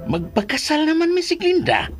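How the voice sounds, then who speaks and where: A Filipino actor playing a bossy, hot-tempered schemer in a radio drama, with a rough, bullying, theatrical delivery. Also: male voice, very high-pitched, wildly swooping pitch, moderate pace, soft background music, heavily acted. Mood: scheming. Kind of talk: Philippine radio drama acting.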